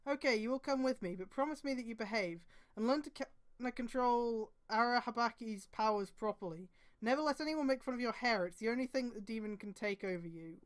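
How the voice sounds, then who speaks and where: A woman reading the part of a veteran female ninja master, a stern but caring mentor, in a measured way for a fan fiction story. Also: on the high side, close to an everyday voice. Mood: firm. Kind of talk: fanfiction read-aloud dialogue.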